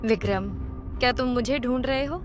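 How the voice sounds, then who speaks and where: A woman voicing a gentle magical cow in a cartoon moral story, earnest and reassuring. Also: fairly high pitch, normal speed, close to an everyday voice. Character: kindly magical talking cow, gentle benefactor. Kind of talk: Hindi cartoon story voice acting. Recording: music bed behind the voice.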